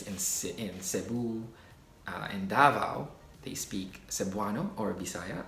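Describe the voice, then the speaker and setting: A man with a Filipino accent who speaks slowly and easily, stopping often on ums and restarts. His voice rings in a roomy, echoey space with a faint hiss underneath. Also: lowish voice, creaky. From Philippines. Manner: relaxed, many fillers and restarts. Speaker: video host. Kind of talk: casual explainer talk. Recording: echoey room.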